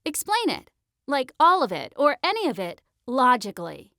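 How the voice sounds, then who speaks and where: A woman playing a sharp, argumentative sixteen-year-old girl in an audiobook, speaking in a slangy, emphatic, insistent way. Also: sits high, wildly swooping pitch, moderate pace, no room sound, studio quality, exaggerated voice. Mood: insistent, frustrated.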